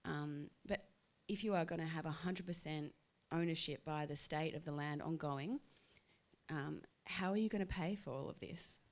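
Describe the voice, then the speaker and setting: A woman's voice: deep voice, normal speed. An Australian woman responding on a conference panel, asking questions in an enthusiastic, chatty way with frequent fillers. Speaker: panel respondent, government official. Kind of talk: panel discussion.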